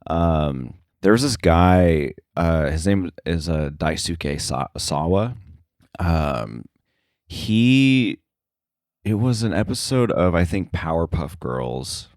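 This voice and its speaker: A man talking casual shop as an interview guest, halting and often circling back to rephrase himself. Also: low voice, big pitch swings, darkish tone, no echo, studio quality. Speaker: interview guest, sound effects editor.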